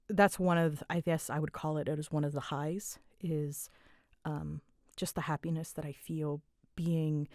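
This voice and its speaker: A woman with a slightly low voice talks informally, as a creator answering questions from listeners. She rambles in a self-deprecating, conversational way. The recording is dry, with very little room sound. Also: darkish tone, dry recording. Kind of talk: casual rambling Q&A chat.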